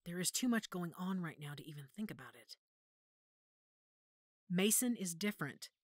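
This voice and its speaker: A woman playing a motherly, firm female werewolf pack leader in an audio drama, measured and authoritative. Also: on the low side, lively intonation, husky, no room sound, clean studio sound. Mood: firm, stern.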